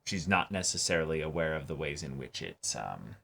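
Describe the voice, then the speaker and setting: A man's voice: extremely low pitch, sing-song, a little fast, gravelly, fairly bright tone. A man co-hosting an anime reaction show, talking through ideas in a thoughtful, analytical way with many fillers and restarts. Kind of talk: casual discussion.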